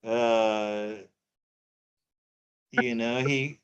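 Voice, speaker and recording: Halting middle-aged man, full of fillers. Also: mid-range voice, steady tone, a bit bright, clean tone, dull audio. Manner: halting, repeats words, many fillers. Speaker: co-host reading tarot cards. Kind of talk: casual live-stream chat.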